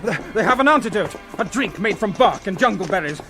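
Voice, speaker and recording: An actor playing an agitated captive professor in a radio adventure serial, urgent and stammering. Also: male voice, sits high, animated, brisk, over music. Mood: anxious, explaining. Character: frightened male professor, rescued captive expedition leader. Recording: old radio drama recording.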